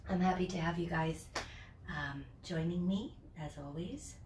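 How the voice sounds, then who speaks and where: A woman with a stuffed-up summer cold teaching a yoga class at home, chatty at first and then giving slow, soothing cues. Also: fairly low pitch, far from the mic, noisy background. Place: home, near a porch patio set. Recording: echoey room from off the mic.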